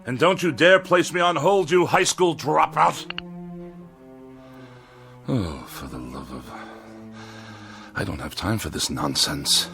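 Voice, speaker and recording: An actor playing a haughty, indignant middle-aged misanthrope with a sarcastic, biting manner in a radio drama. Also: male voice, medium pitch, sing-song, slow pace, vocal fry, pauses often, over music, heavily acted. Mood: scornful. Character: pompous, irascible middle-aged misanthrope writer.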